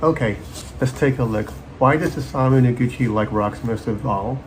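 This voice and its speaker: A man's voice: medium pitch, normal speed. Middle-aged man, faint background noise. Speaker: children's book author and illustrator. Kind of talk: presenting his book to camera for children. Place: home office with bookshelves.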